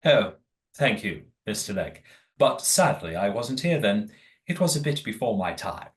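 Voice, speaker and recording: A man's voice: fairly low pitch, big pitch swings, unhurried. A man playing a tipsy, genteel manor host in an audio play, speaking in a formal, droll way. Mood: politely informative.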